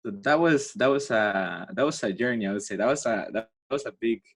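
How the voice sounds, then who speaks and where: A young man from Spain with a Spanish accent, speaking English as a second language as a student guest in a casual podcast chat, with a halting way of talking and many restarts. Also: mid-range voice, expressive, husky. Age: young adult.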